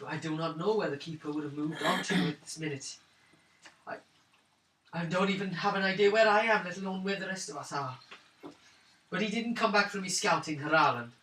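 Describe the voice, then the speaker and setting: A man voicing a shaken, wounded young woman of a wandering clan in a tabletop roleplaying game, speaking haltingly and wearily. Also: sits high, sharp tone. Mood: grave, shaken. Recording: roomy, hissy tabletop recording, distant mic.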